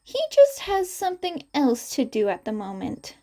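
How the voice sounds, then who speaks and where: A woman voicing a calm, reassuring young male vigilante in a story, light and polite in manner. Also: high-pitched, normal speed, full character voice. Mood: breezy.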